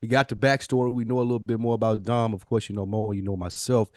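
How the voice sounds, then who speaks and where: A man's voice: on the low side, little pitch movement, fast talker, no echo, studio quality. A man hosting a podcast in a casual, conversational and talkative way. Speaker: podcast host.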